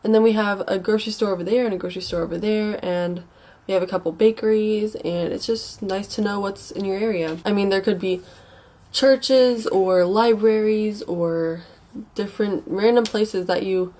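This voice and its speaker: A young woman with an American accent talks in a congested, slightly sick voice at an average pitch. She gives casual advice like a vlogger. Her delivery is informal and rambling, with some hesitation. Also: fairly even intonation, normal speed, dark tone. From United States. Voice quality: stuffy, congested nose. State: mildly sick with a stuffy nose.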